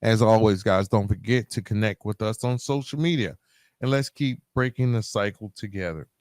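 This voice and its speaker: A man's voice: on the low side, normal speed, darkish tone, no echo, studio quality. A man hosting a podcast solo, speaking in an earnest, emphatic motivational style straight to his listeners, repeating key phrases. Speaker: podcast host.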